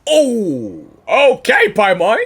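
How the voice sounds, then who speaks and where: A woman giving casual, excitable, rambling live reaction commentary as a gaming host. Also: mid-range voice, extremely slow, creaky.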